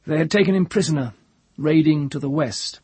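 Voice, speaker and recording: A man's voice: on the high side, brisk, a little mellow, breathy edge, no echo, pitched above the performer's own voice. A man voicing a grave, knowing woman in a story, telling of marvels with solemn awe. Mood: solemn wonder.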